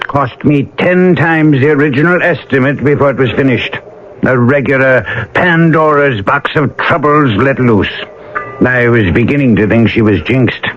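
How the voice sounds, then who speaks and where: A man's voice: medium pitch, moderate pace, lightly acted. A male actor playing a commanding, wealthy yacht owner with a blunt, clipped and wry delivery in a radio drama. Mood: wry, determined. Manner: clipped, blunt, humming before replies. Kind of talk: 1980 radio drama dialogue. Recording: muffled old radio broadcast, faint noise.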